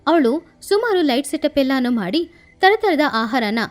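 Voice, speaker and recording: A woman's voice: sits high, very animated, unhurried. A woman narrating a scripted moral story for children as the storyteller. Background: background music.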